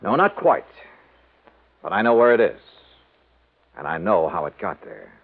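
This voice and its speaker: An actor playing a shrewd, world-weary insurance investigator, clipped and hard-boiled, in 1950s radio detective style. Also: male voice, low voice, sing-song, a little slow, gravelly, narrow, tinny audio, heavily acted. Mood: regretful. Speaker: detective hero and narrator. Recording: band-limited old 1950s radio recording.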